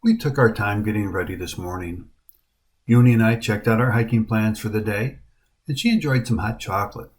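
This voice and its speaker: A middle-aged American man narrating his hiking trip in a voice-over, with an even storytelling voice and a dry, wry humor. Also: on the low side, a little fast. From United States. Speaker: hiking vlogger. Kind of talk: scripted travel narration.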